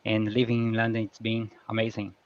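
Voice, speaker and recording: A man from Brazil, talking in English with a clear Brazilian Portuguese accent and pausing often to find his words. His voice sits at an ordinary pitch. The sound is dull and lo-fi, with a very dry room. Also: completely flat delivery, no echo. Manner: hesitant, searching for words. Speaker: guest speaker. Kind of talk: casual video-call chat.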